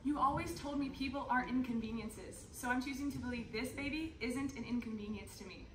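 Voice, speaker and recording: A young woman plays a clingy, troubled teenage girl with exaggerated stage delivery. The voice is high. She is heard from a distance in an echoey room with an audience. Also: fairly quick, a bit bright, a little hiss or hum. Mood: earnest. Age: young adult. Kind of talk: readers theater performance. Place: large conference room. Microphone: distant camera.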